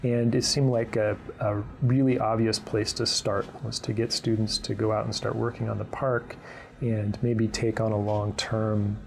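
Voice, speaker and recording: A man with a low voice that carries a strong gravelly fry. He talks as a college instructor, explaining things informally and earnestly, as if in an interview.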